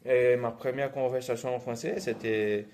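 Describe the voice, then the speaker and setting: A man speaking with a Portuguese accent, as a native Portuguese speaker, talks in a steady, mid-pitched voice with little rise or fall. He sounds like a teacher addressing viewers directly with encouragement. His speech is full of hesitations, fillers and self-corrections. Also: moderate pace, a little hiss or hum. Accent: Portuguese-speaking, Brazilian. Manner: hesitant, many "euh" fillers and restarts. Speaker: language teacher. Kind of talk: casual vlog advice to learners.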